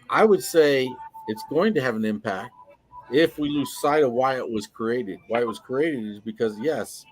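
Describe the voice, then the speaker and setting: An American man from upstate New York speaking casually on a discussion panel, halting and repeating his words. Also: medium pitch, sharp tone. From upstate New York, United States.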